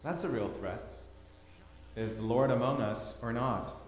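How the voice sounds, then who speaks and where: A man's voice: mid-range voice, a little slow, a little hiss or hum. Man preaching in an echoey hall. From Thailand. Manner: storytelling, repeats words for emphasis. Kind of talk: sermon with slides. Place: church hall. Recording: echoey hall, long reverb.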